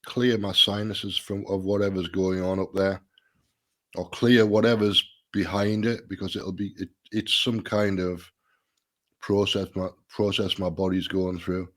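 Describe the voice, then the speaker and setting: A man's voice: little pitch movement, unhurried, fairly bright tone. A middle-aged man hosting a casual solo live stream, a little nasal and stuffy from a sinus problem, thinking aloud with restarts. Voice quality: slightly nasal, deeper than usual.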